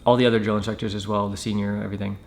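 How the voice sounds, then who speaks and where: A man telling a personal story in a casual, matter-of-fact way with hesitant pauses. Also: lowish voice, level tone, vocal fry, a little mellow. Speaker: storyteller, former Marine recruit.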